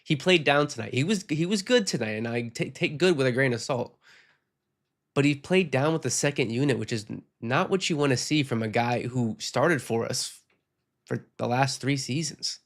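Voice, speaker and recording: A man's voice: mid-range voice, moderate pace. Man with a tired, sick voice. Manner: frank, rambling, reads viewer comments aloud. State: sick, losing his voice. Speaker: podcast host. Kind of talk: casual postgame chat reading comments.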